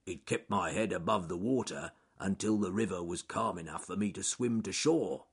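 A man voicing a big, strong, clever male bear who speaks slowly and carefully. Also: low-pitched, husky. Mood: pleased. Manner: slow and careful speech.

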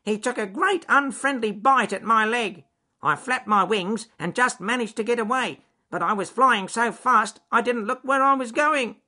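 A man's voice: very high-pitched, moderate pace, sharp tone, pitched above the performer's own voice, exaggerated voice. A man voicing a chatty, frightened lame duck who quacks and groans as he talks, in a children's story. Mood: frightened, complaining. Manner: quacking and groaning between phrases.

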